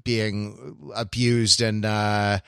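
Halting, analytical man, dry close sound. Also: lowish voice, flat delivery, vocal fry, fairly bright tone, clear, resonant tone, no room sound. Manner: halting, searching for words, repeats and rephrases himself. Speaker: podcast host. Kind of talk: analytical discussion of classic television.

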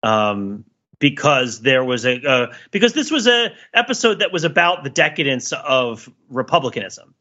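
A man's voice: medium pitch, big pitch swings, moderate pace, bright, forceful tone, lo-fi sound. A man in a casual analytical discussion, rambling emphatically, repeating words and asking rhetorical questions as a podcast panelist.

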